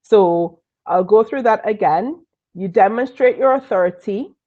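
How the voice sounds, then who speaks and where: A woman from Trinidad with a Trinidadian accent mixed with British tones, giving a lively live online business training and repeating key points for emphasis. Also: medium pitch, unhurried, phone-quality sound. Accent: Trinidadian, mixed with British and Northern Irish. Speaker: business coach.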